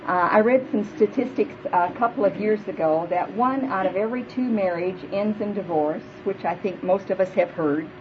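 A woman in her fifties with a big voice, preaching earnestly to a women's church gathering and leading prayer. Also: medium pitch. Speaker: speaker at a women's church retreat. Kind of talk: sermon. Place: hall before a live audience. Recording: dull lo-fi live recording with faint noise.

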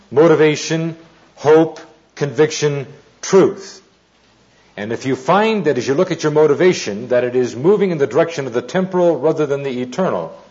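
Deliberate male preacher, muffled recording. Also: medium pitch, darkish tone. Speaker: preacher, Bible teacher. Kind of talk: informal sermon with anecdotes. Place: speaking live to an audience.

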